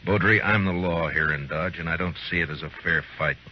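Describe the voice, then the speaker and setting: An actor as a low-voiced, stern frontier marshal. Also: male voice, a little fast, lightly acted. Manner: firm, laconic, authoritative. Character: stern, steady frontier marshal, a grown man. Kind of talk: old-time radio western acting. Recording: muffled, noisy vintage radio recording.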